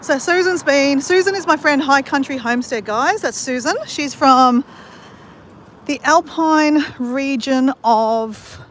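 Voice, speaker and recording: A woman's voice: high voice, takes it slowly, fairly bright tone, a little hiss or hum. An Australian woman chatting to her viewers while she walks around outdoors in a country town and along a creek. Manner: chatty, talks straight to viewers as "guys". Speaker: vlogger. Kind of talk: casual walking vlog.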